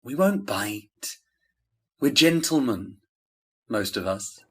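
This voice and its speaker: A young man playing an easygoing, friendly eighteen-year-old in an audio drama, with a wry, relaxed manner. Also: medium pitch, slow pace, breathy edge, full character voice.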